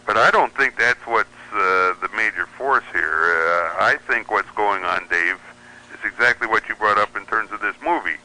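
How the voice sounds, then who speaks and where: A man's voice: on the low side, moderate pace, bright, forceful tone, rough recording. A middle-aged or older man reminiscing in casual, anecdotal conversation as a guest on a radio show.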